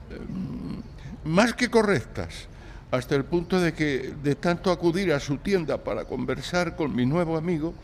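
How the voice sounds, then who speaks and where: A man's voice: a little high, creaky, a little hiss or hum. An actor playing a timid, anguished young man in a live audio drama, speaking with hesitant, sighing delivery. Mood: meek, troubled. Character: timid, anguished young male client telling his misfortune.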